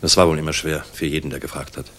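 An actor playing a world-weary, middle-aged general in a 1960s German radio play, speaking in a measured, solemn, reflective manner. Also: male voice, rumbling low, little pitch movement, fairly bright tone. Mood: earnest, consoling.

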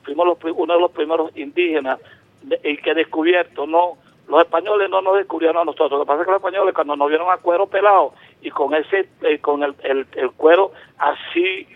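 A man's voice: on the high side, animated, a little fast, no room sound. Rambling older man on a phone line. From Venezuela. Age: 70s. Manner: rambling, emphatic, with many restarts. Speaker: caller. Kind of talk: call-in radio talk. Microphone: telephone.

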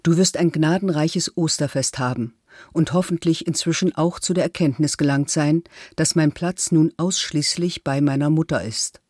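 Composed middle-aged female accused: measured, formal documentary reading, close and dry. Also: low voice, darkish tone, no room sound. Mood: formal, intimate. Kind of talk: documentary reading of statements and letters. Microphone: close studio microphone.

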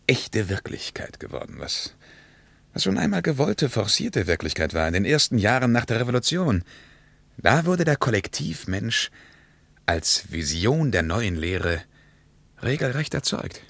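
A man's voice: fairly low pitch, big pitch swings, measured pace, vocal fry, dry recording, heavily acted. An actor playing an ironic, sceptical cultured man travelling abroad, in an old radio play. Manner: ironic and sharp. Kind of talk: 1950s radio play acting.

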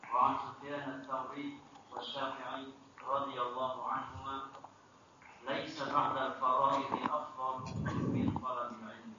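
A man's voice: mid-range voice, little pitch movement, unhurried. Distant, echoing man reciting religious text. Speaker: reader of the Arabic text in a religious class. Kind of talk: formal recitation and reading aloud of classical Arabic religious text. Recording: distant, echoey, noisy, dull room recording.

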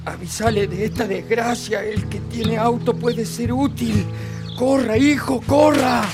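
A man's voice: very high voice, steady tone, a little slow, over music. A man with a northern Argentine accent playing an agitated, kindly village elder in a radio play. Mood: commanding, urgent.

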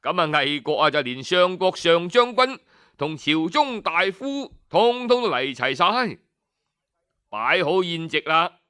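A man with a Cantonese accent telling a story in an animated, colloquial, dramatic traditional style full of interjections. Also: a little high, measured pace, clean tone.

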